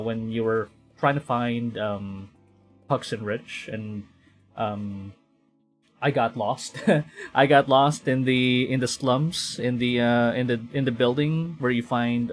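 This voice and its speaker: A young man from the Philippines chatting casually while streaming a game, rambling and correcting himself as he goes. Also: mid-range voice. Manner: rambling, self-correcting, quietly talking to himself. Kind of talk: casual gameplay commentary.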